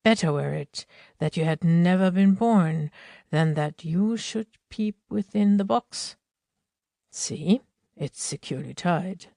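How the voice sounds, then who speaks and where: A woman acts out a solemn, loving husband from a mythic audiobook play. The delivery is slow and deliberate, in a mellow, dry-recorded voice. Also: on the low side, vocal fry, no echo. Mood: earnest warning. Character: Epimetheus, tender, solemn, protective husband of myth. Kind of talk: amateur audiobook play reading.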